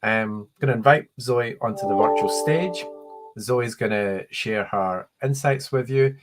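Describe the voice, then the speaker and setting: A man's voice: medium pitch, over loud music, low-quality recording. A man hosting an online panel, thanking speakers and handing over with a few ums. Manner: friendly, brisk handovers with ums. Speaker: panel host.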